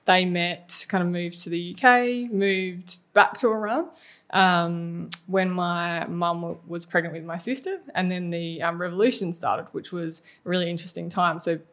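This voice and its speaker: A woman's voice: on the low side, steady tone, moderate pace, a bit bright. A woman who grew up in England, a guest on a podcast, telling her story casually with many fillers and hedges. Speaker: guest, head of people and culture. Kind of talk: podcast interview.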